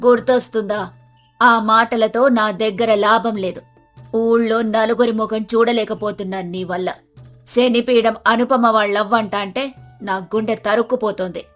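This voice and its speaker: A woman plays a bitter old village grandmother in a moral story. She scolds harshly and dismissively, full of reproach, in a fairly high voice over clear background music. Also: steady tone, a little slow, close to an everyday voice. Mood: bitter scolding.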